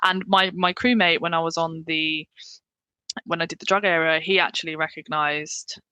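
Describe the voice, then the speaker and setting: A woman's voice: deep voice, fairly even intonation, moderate pace, bright, forceful tone, smooth, no echo, low-quality recording. Chatty English woman, dry close sound. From England. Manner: chatty, laughs easily, many fillers. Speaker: paramedic guest. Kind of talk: candid interview conversation.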